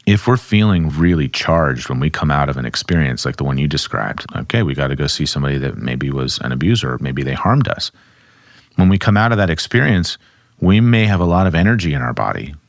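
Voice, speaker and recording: A man, a somatic therapist, calmly explaining and demonstrating grounding exercises at his desk. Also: very deep voice, fairly quick, no room sound, studio quality. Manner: calm, instructive, speaks while demonstrating.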